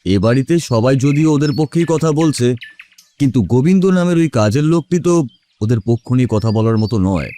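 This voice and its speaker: An actor playing a thoughtful detective who reasons in a deliberative, questioning way in audio drama dialogue. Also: male voice, mid-range voice, lively intonation, brisk, mellow, a little hiss or hum, close to an everyday voice. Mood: pondering. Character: male detective thoughtfully reasoning out a murder case.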